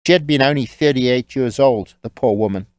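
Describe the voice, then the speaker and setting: A man narrating a scripted audiobook story in a measured, wry storytelling manner. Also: medium pitch, darkish tone, no room sound, clean studio sound.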